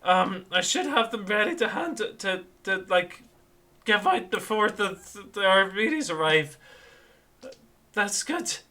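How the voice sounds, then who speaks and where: Male player voicing a harried bureaucrat who rambles through endless rules; keep it bright and fussy, voice chat sound. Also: sits high, big pitch swings, a little slow, heavily acted. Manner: rambling, tangled in rules and forms. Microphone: online voice chat mic.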